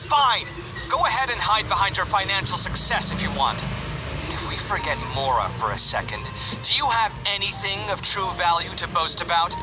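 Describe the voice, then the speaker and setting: A proud young artist in a video game, high-pitched and easily riled. He speaks with theatrical indignation and exasperation. Music plays clearly behind his voice. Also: normal speed, rough recording. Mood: defiant, haughty. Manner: indignant, dramatic, expressive. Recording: background music under the voice.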